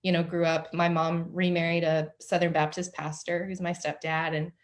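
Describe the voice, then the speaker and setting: A woman author from the southern US talks through her life story as an interview guest, candid and casual. Her voice sits a little low, and she often uses "like" and "um" as she speaks from her home office. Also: level tone, normal speed. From Oklahoma and New Mexico, USA. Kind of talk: conversational storytelling.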